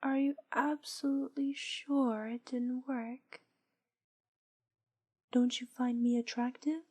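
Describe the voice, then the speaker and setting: A woman playing a teasing, controlling witch in an intimate audio roleplay, breathy and deliberate, often dropping into whispers. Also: high voice, level tone, a little mellow, full character voice. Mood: coy, teasing. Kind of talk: intimate roleplay audio drama, hypnosis ASMR.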